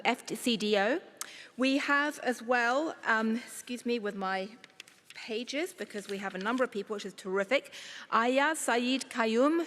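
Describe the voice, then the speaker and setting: A woman's voice: a little high, fairly bright tone, in a large hall. A woman hosting a live conference, introducing speakers and stopping for brief asides. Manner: fluent, stops for asides while sorting notes. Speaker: conference host.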